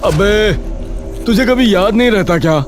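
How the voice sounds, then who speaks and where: A man's voice: sits high, a little slow, soft background music. A man voicing a frightened young man in a cartoon who stammers in fear. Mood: irritated.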